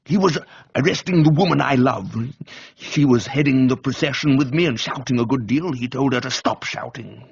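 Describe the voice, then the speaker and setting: A man voicing a blunt, intense young political agitator with a low, guttural voice in a dramatised reading. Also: moderate pace, creaky, slightly rough, lo-fi sound. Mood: indignant. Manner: blunt, clipped, emotional. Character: fiery gruff intense young left-wing male agitator.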